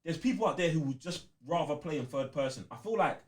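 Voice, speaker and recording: A man's voice: fairly high pitch, expressive, fast. A man with a London accent co-hosting a gaming podcast in casual chat, emphatic and slangy, repeating points to drive them home. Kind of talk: casual chat about video games.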